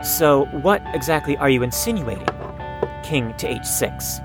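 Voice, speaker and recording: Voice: medium pitch, animated, a little slow, over music, lightly acted. An actor playing an anxious, proud fifteen-year-old in an audio drama, speaking formally and precisely and stammering when flustered. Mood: guarded, defensive.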